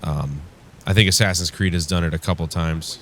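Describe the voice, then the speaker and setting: A man hosting a casual gameplay commentary, rambling and wryly griping, his voice congested and strained from yelling. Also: sits low, steady tone, a little fast. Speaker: gaming show host. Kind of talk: casual let's play commentary. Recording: clear background noise.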